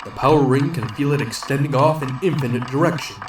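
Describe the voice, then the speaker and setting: A man's voice: medium pitch, fairly quick, close to an everyday voice. A man playing an earnest, defiant superhero. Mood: urgent. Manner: earnest, loud, heroic declarations. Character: brave, defiant male superhero with a power ring.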